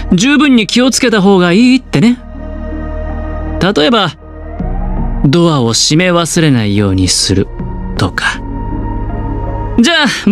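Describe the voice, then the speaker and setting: A man playing a suave, scheming young male gambler in a game, with a smooth, teasing, theatrical delivery. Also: high-pitched, fairly bright tone. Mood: sly politeness. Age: young adult. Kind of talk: Japanese game dialogue voice acting. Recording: music behind the voice.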